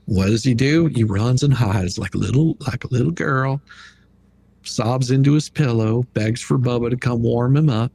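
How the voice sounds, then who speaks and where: Guy hosting a livestream, kinda husky, blunt and mocking, talks real casual. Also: medium pitch, normal speed, dark tone. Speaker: livestream host. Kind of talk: casual livestream commentary on a legal dispute.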